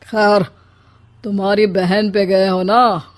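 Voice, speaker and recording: A woman's voice: mid-range voice, flat delivery, takes it slowly, close to an everyday voice. A woman voicing a teasing older father-in-law in a comic cartoon story, with a dry, needling delivery.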